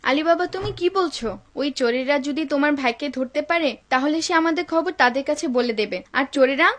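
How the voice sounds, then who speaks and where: A woman's voice: high-pitched, moderate pace, close to an everyday voice. A woman playing a devout, caring, cautious wife and mother in a cartoon. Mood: worried. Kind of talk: dubbed cartoon acting.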